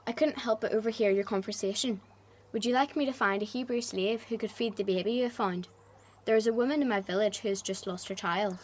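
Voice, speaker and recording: A woman's voice: medium pitch, brisk, close to an everyday voice. An actress playing a polite, clever young slave girl in a radio drama, humble and pleading. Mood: humble, hopeful.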